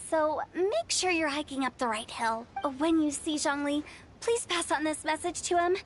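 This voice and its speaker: A woman's voice: very high-pitched, a bit bright, a little hiss or hum. A woman playing a perky, mischievous young funeral director in a video game, with a playful, theatrical, chatty delivery.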